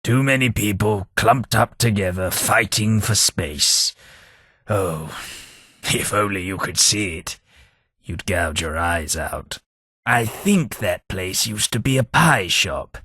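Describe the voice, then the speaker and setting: A prim, sardonic companion. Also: male voice, lowish voice, animated, takes it slowly, sharp tone, slightly rough, no room sound, heavily acted. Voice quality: dry, sardonic delivery. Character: prim, snobbish British male companion, dry wit. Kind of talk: video game companion lines.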